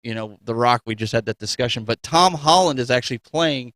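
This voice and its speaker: This is a man co-hosting a gaming show. He speaks in a medium-pitched voice with an informal, chatty style. The recording is dry and free of room echo. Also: fairly quick, a bit bright. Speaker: co-host of a gaming news show. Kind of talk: casual chat.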